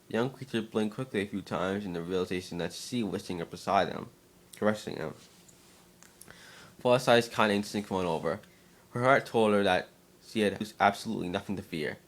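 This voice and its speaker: A man narrating fan fiction as a dramatic reading, slightly halting and stumbling over words now and then. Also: on the low side.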